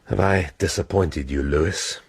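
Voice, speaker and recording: A man's voice: deep voice, moderate pace, husky, heavily acted. An actor playing a weary, cerebral police inspector in a radio drama, clipped and cultured in his speech. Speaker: detective inspector.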